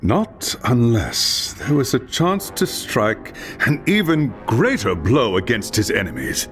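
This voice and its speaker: A man playing a wise, grave elven lore master in a video game, measured and portentous. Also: mid-range voice, very animated, soft background music. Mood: grave warning. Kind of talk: dramatic video game acting.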